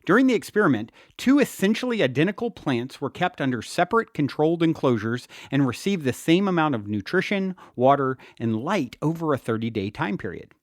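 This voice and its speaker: A man's voice: on the high side, very animated, brisk, hardly any pauses, no room sound, clean studio sound. A man reading his own nonfiction book aloud as an audiobook narrator, explaining ideas expressively with a wry touch.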